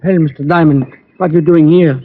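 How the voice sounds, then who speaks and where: An actor playing an anxious, secretive older gunsmith who speaks formally and hesitantly, in 1940s radio drama style. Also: male voice, on the high side, steady tone, a little fast, natural voice. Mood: guarded. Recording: muffled old radio recording.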